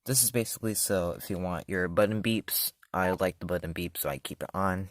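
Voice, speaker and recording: A man's voice: low voice, fairly quick, dry recording, studio quality. A man casually demoing a product, talking loosely with many fillers and self-corrections. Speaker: product reviewer. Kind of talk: casual hands-on demo.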